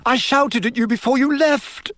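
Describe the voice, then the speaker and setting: A man's voice: very high voice, moderate pace, fairly bright tone, no room sound, studio quality, voice pushed up. A man voicing an anxious, fussing middle-aged mother in a storybook reading, with a distraught manner. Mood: tearful relief.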